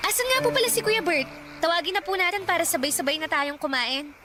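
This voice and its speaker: A woman's voice: extremely high pitch, quick pace, over loud music. An actress playing a young woman who anxiously questions her family in a radio drama. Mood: worried. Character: young woman granddaughter, worried and outspoken.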